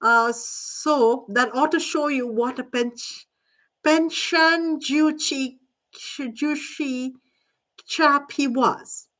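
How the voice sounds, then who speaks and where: A woman's voice: high voice, fairly even intonation, very slow, fairly bright tone, distant mic, poor audio. An older woman vlogger talking in a rambling, hesitant way, full of fillers and restarts. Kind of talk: rambling casual monologue.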